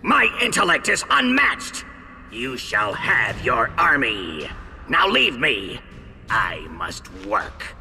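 An actor playing an arrogant, megalomaniac mutant mad scientist in a video game, pompous, theatrical and given to grandiose ranting. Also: male voice, mid-range voice, huge pitch swings, slow, gravelly, heavily acted. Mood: outraged, then cold, impatient. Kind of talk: video game villain acting. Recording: loud game music behind.

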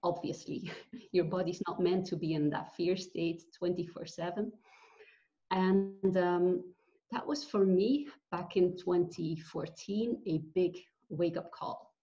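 A woman's voice: on the low side, fairly even intonation, dark tone. Earnest woman on a dull video call. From Belgium. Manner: earnest, reflective, with many pauses and fillers. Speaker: guest. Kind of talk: personal story in a video call interview. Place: bright room at home. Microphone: video call webcam.

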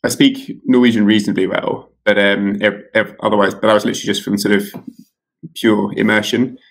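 A man's voice: on the low side, level tone, brisk, gravelly. A man in his thirties, an author being interviewed over a video call from home, talking casually with many fillers and restarts. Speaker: author guest. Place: home room with curtains.

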